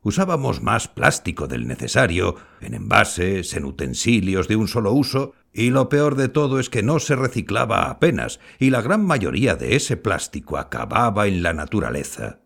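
A man acting a kindly, modest old grandfather and former scientist in an audio drama, explaining things in a measured way. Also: lowish voice, very animated, gravelly, fairly bright tone, full character voice. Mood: earnest, reflective. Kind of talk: scripted audio fiction acting.

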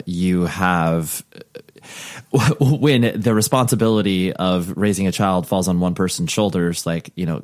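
Man, podcast host, low voice, dry close sound, rambling casual talk. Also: darkish tone, dry recording. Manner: rambling, many fillers and restarts. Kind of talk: casual interview chat.

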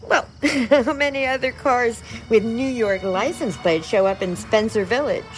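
An actress playing a chatty, folksy great-aunt who is pushy and slyly over-friendly in an audio drama. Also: female voice, fairly high pitch, measured pace, faint music behind, natural voice, low-quality recording. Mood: folksy, coaxing. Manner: chatty, insistent, theatrical.

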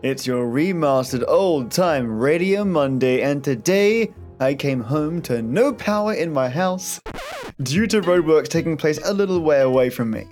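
A man's voice: a little high, animated, unhurried, darkish tone. A man hosting a podcast, giving a chatty scripted introduction in a wry, friendly manner. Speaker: podcast host. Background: plucked guitar music.

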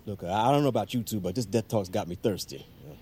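An actor playing an evasive middle-aged father, a beer-drinking lieutenant, halting and gruffly jovial in an audio drama. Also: fairly low pitch, warm tone. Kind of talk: naturalistic audio drama acting.

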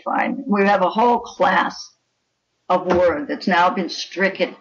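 An older woman talks in an interview with an emphatic, opinionated manner. Her voice is creaky, and it comes through a little muffled and away from the microphone. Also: lowish voice, recorded from a distance. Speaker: guest writer and anthology editor. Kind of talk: interview conversation.

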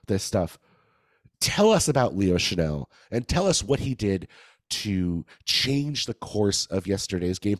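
A man hosting a sports podcast, his voice raspy and a little hoarse, with a playful, laughing manner. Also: fairly low pitch, no room sound. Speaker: podcast host. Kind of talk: casual sports podcast chat.

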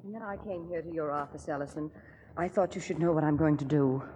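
A woman's voice: sits low, fast, in a large hall, loud music behind the voice, close to an everyday voice. An actress playing a composed, resigned woman burdened by guilt in a 1940s radio drama, subdued and restrained. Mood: resolute.

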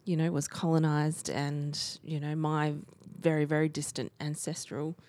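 A woman with an Australian accent co-hosting a book podcast, giving steady, informative background with frequent "um"s. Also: sits low, normal speed, no room sound. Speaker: podcast co-host. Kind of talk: casual book chat.

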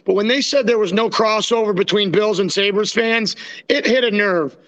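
Young American male co-host with a high-pitched voice, casual sports banter. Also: normal speed, a bit bright. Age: young adult. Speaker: podcast co-host.

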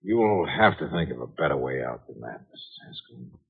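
A tinny 1950s radio recording of a no-nonsense insurance investigator. His husky voice moves in lively swings of pitch, punchy and wry, in classic hard-boiled radio detective style. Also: moderate pace, exaggerated voice. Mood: firm, wry.

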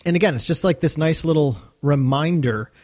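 A man's voice: fairly high pitch, brisk, a little mellow. A man hosting a podcast, giving a casual recap in conversational fan discussion. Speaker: podcast host.

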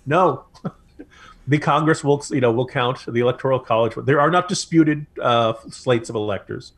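A man's voice: mid-range voice, moderate pace, a bit bright. An American man co-hosting a political podcast, giving opinionated commentary in an emphatic, sardonic way with mocking asides.

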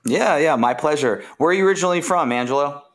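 A man's voice: a little high, expressive, quick pace, fairly bright tone. Upbeat man hosting a podcast. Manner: upbeat, with frequent "uh" fillers. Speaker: podcast host. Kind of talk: casual interview.

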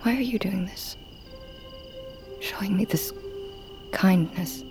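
A woman's voice: medium pitch, slow pace, vocal fry, music in the background. A proud, wounded young warrior woman. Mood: suspicious, guarded. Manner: intense, formal archaic phrasing. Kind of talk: dramatic fantasy audio drama acting.

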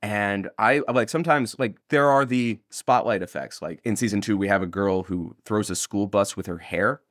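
Low-voiced man chatting as interviewed creator. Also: normal speed, a bit bright, dry recording, studio quality. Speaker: audio drama creator being interviewed. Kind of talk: casual, animated interview chat.

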